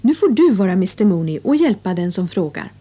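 A woman's voice: medium pitch, very animated, warm tone, slightly rough. A woman presenting a children's English lesson with scripted narration and instructions.

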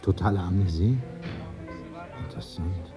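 A male actor as a cultivated medical writer in a radio play. His voice sits on the low side, conversational and articulate, always inquiring, with music clearly underneath. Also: moderate pace, close to an everyday voice. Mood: reflective. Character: educated medical author, curious, questioning old school friend. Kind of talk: 1980s German radio play dialogue.

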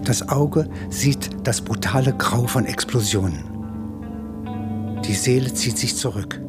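A man's voice: mid-range voice, measured pace. Male radio narrator over prominent music. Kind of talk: literary radio play narration.

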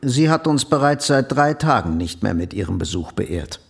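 A man playing a dry, genteel gentleman with a formal, wry manner in a German radio drama. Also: medium pitch, very animated, moderate pace, creaky, husky, heavily acted. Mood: dry, resigned.

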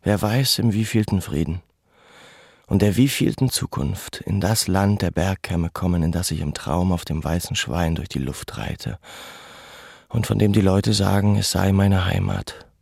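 A man playing a brooding, weary former camp deportee in a reflective, measured radio drama monologue. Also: deep voice, expressive, creaky, a little mellow, husky, no echo, clean studio sound, full character voice. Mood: reflective, resigned.

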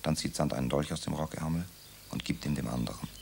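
Very deep gravelly male narrator. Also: fast talker, darkish tone, a little hiss or hum. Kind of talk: radio drama narration.

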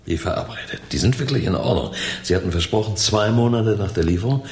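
An actor playing a self-assured, cautious crook in a radio crime drama, speaking deliberately with sardonic maxims. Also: male voice, on the low side, expressive. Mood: guarded, wry. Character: wry, self-assured male crook, cautious gang planner. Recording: roomy echo, faint background noise.

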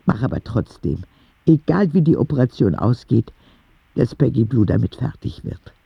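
Radio drama: earnest, confiding boy writing to God, very husky voice, lively intonation. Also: medium pitch, normal speed, no echo, highs cut off, exaggerated voice. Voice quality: husky, gravelly. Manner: confiding, earnest, with naive directness. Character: ten-year-old sick boy writing candid letters to God. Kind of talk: intimate radio drama letter narration.

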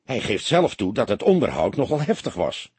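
A man's voice: medium pitch, big pitch swings, normal speed, darkish tone, husky, dry recording. A man voicing a young male detective in an audiobook, agitated, indignant and incredulous. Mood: agitated disbelief.

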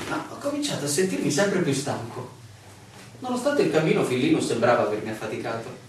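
An actor playing a weary, bewildered male stranger telling his story with deliberate theatrical emphasis in a radio play. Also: medium pitch, lightly acted. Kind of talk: theatrical radio drama monologue. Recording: very echoey, distant, noisy radio play recording.

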